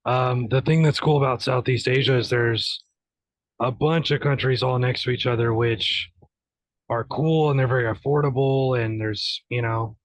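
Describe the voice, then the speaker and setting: A young man from the United States speaks as a podcast guest, relaxed and a little hesitant, filling his pauses with ums and uhs. His voice sounds close and dry, with no room around it. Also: mid-range voice, no room sound, low-quality recording. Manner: hesitant, laid-back, many fillers. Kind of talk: casual interview chat.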